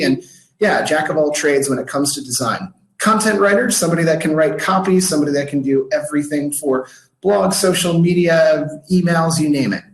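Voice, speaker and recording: Casual American man in an echoey room. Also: on the high side. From Washington, D.C., United States. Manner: casual, filler-heavy, with frequent "uh" and "like". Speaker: startup marketing speaker. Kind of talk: webinar presentation.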